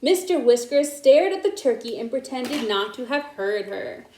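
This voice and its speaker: A woman hosting children's story time, reading a picture book aloud and explaining it simply in an animated way. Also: high voice, moderate pace, a little hiss or hum. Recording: echoey room.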